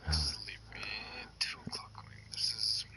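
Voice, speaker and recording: Man whispering in game chat. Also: a little slow. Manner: whispering quietly, laid-back. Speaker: gamer in voice chat. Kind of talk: casual gaming chat.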